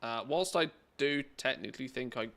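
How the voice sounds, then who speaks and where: A man's voice: medium pitch, moderate pace, gravelly, sharp tone. A man streaming a game, chatting casually with his viewers and grumbling at the game between rambling stories. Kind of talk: casual chat while playing a game.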